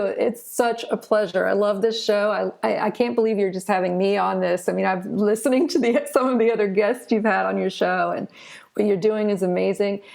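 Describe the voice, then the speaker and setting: A woman's voice: medium pitch, vocal fry, darkish tone. A middle-aged woman chatting as an interview guest in a gushing, enthusiastic manner. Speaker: guest author and publisher. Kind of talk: casual interview conversation.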